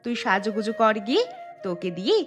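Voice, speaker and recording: A woman's voice: mid-range voice, lively intonation, fairly quick, natural voice. A woman voicing a scheming, jealous young daughter-in-law in a cartoon story, with a theatrical, gloating delivery. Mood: teasing. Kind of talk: Bengali cartoon storytelling voice acting. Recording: background music under voice.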